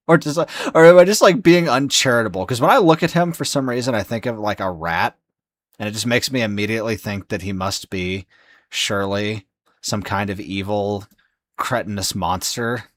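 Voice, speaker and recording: A young man talks to his live-stream chat in a very low, hoarse voice, sleepy after hours of talking. He rambles, with many fillers and restarts. The sound is dry, with no room echo. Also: normal speed.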